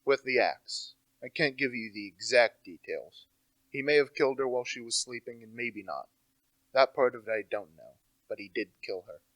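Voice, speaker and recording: A man's voice: mid-range voice, expressive, moderate pace, fairly bright tone, no echo, lightly acted, poor audio. A young man acting as an insistent, self-assured amateur detective in an amateur radio play. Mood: smug. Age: teenager to young adult. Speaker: actor.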